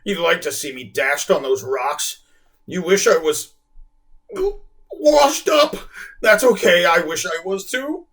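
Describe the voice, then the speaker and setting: A man voicing a huge, sad, self-pitying male blue whale in a deep, slow, mournful drone, in a read-aloud storybook. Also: expressive, fairly bright tone, natural voice.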